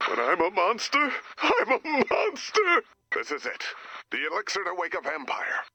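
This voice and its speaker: A pompous, menacing businessman werewolf from a video game. The voice is raspy and sharp, swinging wildly in pitch as it delivers boardroom jargon like a threat. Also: wildly swooping pitch, moderate pace, no echo, exaggerated voice. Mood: frantic, transforming. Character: director turning into a corporate-minded werewolf businessman.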